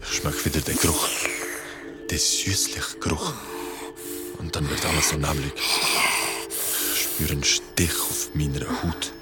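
A frightened young man narrates his ordeal in a horror radio play. His voice is very deep and halting, set against loud music. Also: level tone, in a whisper. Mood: haunted. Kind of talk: horror audio drama narration.

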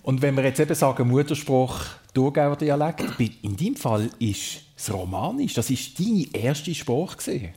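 A man with a Swiss German accent hosting a relaxed interview, friendly and asking short follow-up questions. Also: mid-range voice, normal speed, hardly any pauses. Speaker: radio talk show host.

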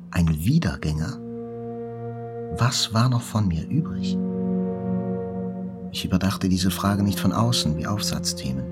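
An actor playing a shaken, reflective survivor looking back, speaking deliberately with pauses, as in a radio play. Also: male voice, deep voice. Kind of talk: dramatic literary narration, radio play.

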